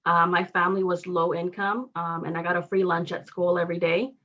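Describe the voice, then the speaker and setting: A woman in her thirties, a native Spanish speaker, gives personal testimony at a public meeting. Her voice sits low, and she talks haltingly, filling pauses with "um" and "you know". The audio is dull and lo-fi. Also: flat delivery, fairly bright tone. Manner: hesitant, frequent "um" and "you know" fillers. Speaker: law graduate sharing her experience.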